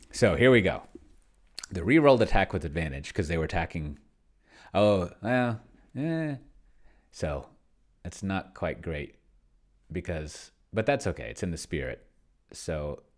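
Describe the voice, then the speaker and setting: Male gamer-host, low gravelly voice, casual think-aloud commentary, mutters while typing. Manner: muttering to himself, slowing as he dictates while typing. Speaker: solo tabletop gamer and video host. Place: indoors at a computer, keyboard clicks behind.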